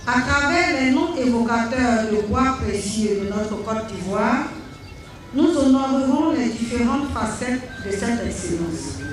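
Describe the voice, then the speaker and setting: A woman's voice: a little high, expressive, a little slow, soft background music. A woman giving a formal prepared speech as a speaker at a ceremony. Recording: very echoey, distant, faint noise.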